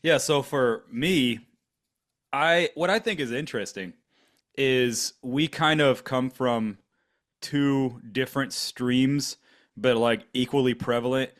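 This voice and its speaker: A man's voice: mid-range voice, takes it slowly, fairly bright tone. A man in his forties from Germany, whose first language is German, telling his story on a podcast in a rambling way with many fillers and restarts. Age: 40s. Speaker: podcast co-host. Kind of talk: casual podcast conversation.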